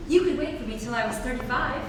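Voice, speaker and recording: A woman's voice: high-pitched, fast talker, natural voice. A young woman playing a sweet, candid, impatient girl of eighteen in a stage comedy reading, artless in delivery. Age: young adult. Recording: distant echoey hall, clearly noisy.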